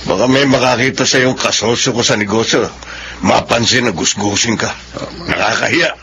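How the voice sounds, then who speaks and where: A man's voice: medium pitch, moderate pace, background noise, close to an everyday voice. A man playing a middle-aged, status-conscious, distant businessman father in a Filipino radio drama, curt and commanding. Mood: curt, dismissive.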